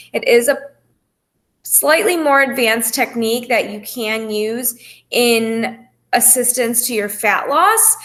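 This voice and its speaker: A woman's voice: on the high side, a bit bright. A woman speaking as a fitness coach, explaining things informally to a group in a reassuring, careful way. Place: phone call presentation.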